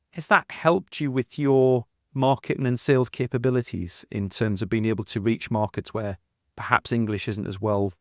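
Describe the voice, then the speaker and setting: A man's voice: lowish voice, no echo, studio quality. A middle-aged man hosting a podcast interview in a conversational, enthusiastic and wordy way. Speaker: podcast host.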